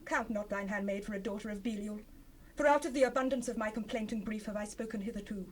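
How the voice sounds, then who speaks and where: A woman's voice: mid-range voice, fast, lightly acted. A woman playing a devout, sorrowful biblical wife in a dramatized scripture reading, speaking fervently in formal archaic language on an old cassette. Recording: noisy unprocessed 1970s cassette rip.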